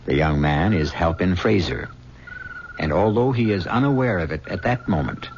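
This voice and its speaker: An older man hosting and narrating a 1970s radio drama in a measured, grave, theatrical way. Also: sits low, lively intonation, a little mellow, a little hiss or hum. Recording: dull old radio recording with music.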